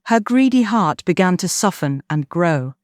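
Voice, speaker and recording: A woman narrating a children's bedtime story in an expressive, scripted way. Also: lowish voice, normal speed, no echo, clean studio sound.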